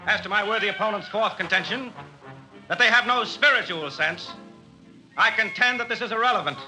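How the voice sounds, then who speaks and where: A man's voice: sits high, fairly quick, bright, forceful tone, faint music behind, low-quality recording. An actor playing an insistent, formal male lawyer arguing in a 1950s radio drama. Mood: argumentative.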